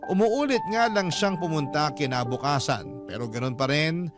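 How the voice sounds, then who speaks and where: A man's voice: a little high, lively intonation, normal speed. A man with a Filipino accent narrating a scripted first-person drama story. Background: instrumental music.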